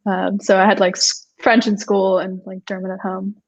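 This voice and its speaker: A young woman from Austria speaks as a guest on a video call, chatting casually with plenty of "like" and little laughs. Her pitch stays fairly flat and even, and her sound comes through dull and lo-fi. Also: moderate pace. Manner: chatty, many "like" fillers, laughs often. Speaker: startup co-founder, guest. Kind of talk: casual interview chat.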